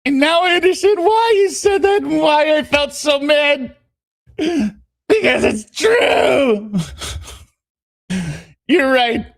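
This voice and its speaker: A man voicing a lovelorn, dramatic lover with a put-on Southern accent and a drawn-out, pleading delivery. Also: very high-pitched, lively intonation, gravelly, no echo, studio quality, voice pushed up, full character voice. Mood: wounded, pleading.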